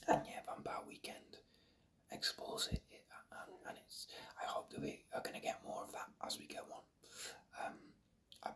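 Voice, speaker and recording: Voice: sharp tone, far from the mic. A reviewer whispering an ASMR video review in a hesitant, rambling, stop-start way.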